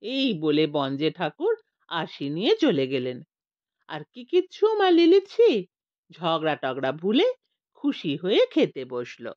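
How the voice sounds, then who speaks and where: A woman narrating a scripted story as an audiobook reader, in an expressive storytelling style. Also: sits low, slow, no room sound, highs cut off.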